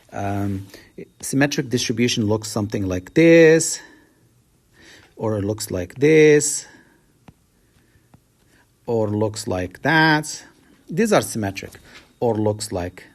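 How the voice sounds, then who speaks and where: A man teaching a statistics lesson, explaining step by step and lifting his voice to stress key points. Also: a little mellow. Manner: explanatory, rises in pitch to stress key words. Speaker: math teacher. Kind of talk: recorded statistics lecture.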